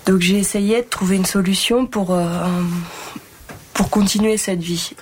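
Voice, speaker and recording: Young woman from Paris, halting account. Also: mid-range voice, fairly even intonation, a little mellow, a little hiss or hum. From Paris area, France. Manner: halting, many "euh" fillers. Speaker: shepherdess trainee. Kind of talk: personal account.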